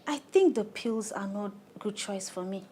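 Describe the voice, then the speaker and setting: A woman's voice: medium pitch, normal speed, a little mellow, breathy edge, close to an everyday voice. A woman with a Nigerian accent playing a harried but determined thirty-year-old mother in a radio drama, earnest and plain-spoken. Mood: frank, matter-of-fact. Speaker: clinic client in an educational radio play.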